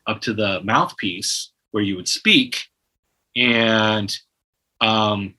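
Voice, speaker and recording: A man in his forties, a guest musician in a casual interview, telling an animated story full of restarts and imitated phone tones. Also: lowish voice, extremely slow, poor audio.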